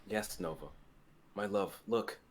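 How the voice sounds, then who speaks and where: A man's voice: on the low side, takes it slowly, noisy background. A man playing an earnest, resolute and tender fantasy hero in a dramatic reading. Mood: tender resolve. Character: earnest heroic young man, resolute, tender, self-sacrificing.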